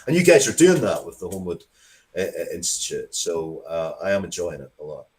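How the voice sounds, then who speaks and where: A man's voice: deep voice, very animated, fairly quick, a little hiss or hum. A man talking casually and opinionatedly as an interview guest, emphatic and repeating words to drive points home.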